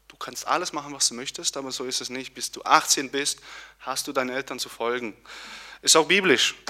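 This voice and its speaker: A young man from Poland, giving an earnest personal testimony with pauses and restarts. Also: mid-range voice, bright, forceful tone. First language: Polish. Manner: earnest, searching, with fillers and restarts.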